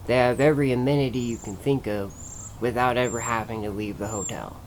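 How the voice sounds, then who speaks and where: A man's voice: mid-range voice, fairly quick, a little hiss or hum, low-quality recording. A man giving a casual, plain-spoken vlog review, recommending things to his viewers. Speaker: vlogger and reviewer.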